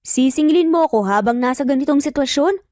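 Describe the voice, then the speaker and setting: A woman's voice: sits high, lively intonation, fast, darkish tone, no room sound, studio quality, voice pushed up. A woman voicing a greedy, threatening uncle who snarls his lines in an audio story. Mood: angry. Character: greedy, menacing uncle plotting against his sister. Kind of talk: Tagalog audio story dialogue.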